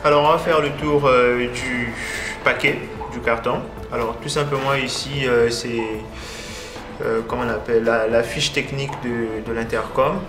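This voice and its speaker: A man with a mid-pitched voice hosts a casual product presentation in a roomy, echoing space. His phrasing is halting and full of filler sounds. Music is clearly mixed in with his voice. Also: steady tone. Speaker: video host, product reviewer.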